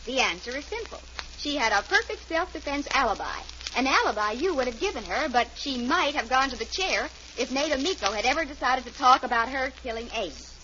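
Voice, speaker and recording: An admiring, obliging secretary in a vintage radio mystery. Her voice is very high and eager, heard through the dull, hissy sound of an old broadcast. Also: animated, brisk, bright, forceful tone. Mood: dutiful. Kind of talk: old-time radio drama acting.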